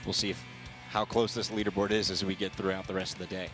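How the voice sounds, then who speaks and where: Low-voiced American golf analyst, male. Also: little pitch movement, quick pace, over music, low-quality recording. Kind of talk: conversational live sports commentary.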